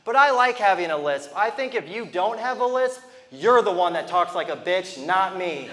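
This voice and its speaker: Lisping thirty-something stand-up comic. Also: male voice, sits high, animated, bright, forceful tone, in a large hall. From Toledo, Ohio, US. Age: 30s. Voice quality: lisp. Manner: loose, rambling delivery with quick asides to the crowd. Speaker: stand-up comedian. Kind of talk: stand-up comedy set. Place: comedy venue with a live audience.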